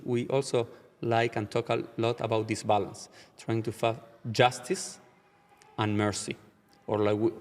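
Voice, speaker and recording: A man from Argentina with an Argentine Spanish accent, speaking English as a later language. He is a rabbi answering interview questions in a measured way, slowing down to stress key ideas. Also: medium pitch, a little mellow, long reverb tail. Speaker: rabbi, guest.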